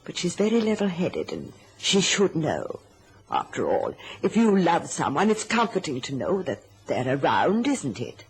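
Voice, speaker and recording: Bossy sly mum in an old radio play, silky n theatrical, bit of hiss. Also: female voice, low voice, huge pitch swings, measured pace, full character voice. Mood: feigned concern. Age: middle-aged. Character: scheming, domineering mother with a sweet, coaxing front. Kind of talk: old-time radio drama acting. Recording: noisy old radio drama recording.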